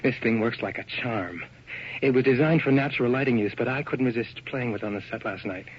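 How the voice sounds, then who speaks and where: An actor playing an enthusiastic, slangy photographer's assistant in a radio drama, hip and wisecracking. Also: male voice, mid-range voice, brisk, natural voice. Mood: excited, eerie. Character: breezy, enthusiastic young male photographer's assistant. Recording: noisy, dull old radio recording.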